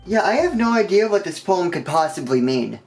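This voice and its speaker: A man's voice: high-pitched, very animated, brisk, a little mellow. A man narrating a scripted comedic video game review, with sarcastic joking asides and exaggerated emphasis. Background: background music.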